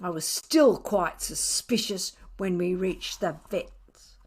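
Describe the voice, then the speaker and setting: A woman voicing a grumpy, sardonic pet cat in an indignant, self-justifying storybook narration with drawn-out emphasis. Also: on the low side, breathy edge. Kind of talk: expressive children's storybook reading.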